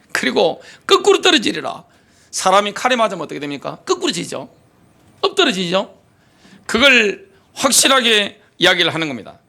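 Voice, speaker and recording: A middle-aged male pastor delivering an impassioned sermon, firing off rhetorical questions, then dropping to quiet asides. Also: high-pitched, vocal fry. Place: church sanctuary.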